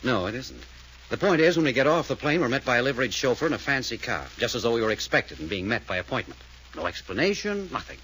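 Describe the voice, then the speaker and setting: A brisk, level-headed leader of adventurers, talking fast with a lively, mid-pitched voice. There is a faint hiss behind him, as on an old radio serial. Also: male voice, low-quality recording. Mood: reasoning. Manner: brisk, reasoning, commanding. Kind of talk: 1940s radio acting.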